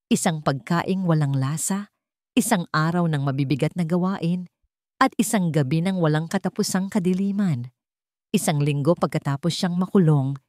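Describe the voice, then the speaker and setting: Woman, Filipino accent, lower-pitched, dramatic scripted narration, measured short phrases, dry studio-like sound. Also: a little mellow, no echo. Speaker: storyteller.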